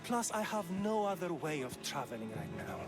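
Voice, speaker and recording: Brief: desperate farmer thief, high voice, pleading over music. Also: male voice, big pitch swings, normal speed. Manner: defensive, pleading. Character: poor male farmer, horse thief.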